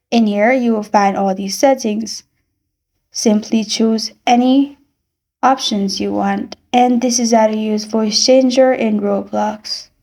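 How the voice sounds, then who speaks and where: A woman reading a scripted step-by-step tutorial in an instructional, deliberate manner. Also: on the high side, darkish tone. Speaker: tutorial narrator.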